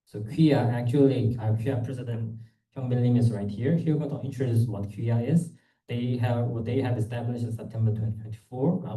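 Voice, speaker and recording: Young male student presenter, very mellow tone, informal and halting, picked up from afar in a roomy space. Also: lowish voice. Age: young adult. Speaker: student club executive presenting at a conference. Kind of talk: conference presentation. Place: indoor conference room. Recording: echoey room, distant microphone.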